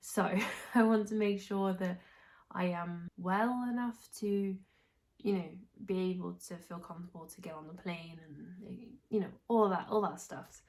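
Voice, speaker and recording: A young woman from England hosting a knitting podcast, chatting casually while she sounds nasal and hoarse from a recent illness, with a rambling, restarting way of talking. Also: on the low side, unhurried, darkish tone. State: hoarse, congested, recovering from illness. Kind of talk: casual chat to camera.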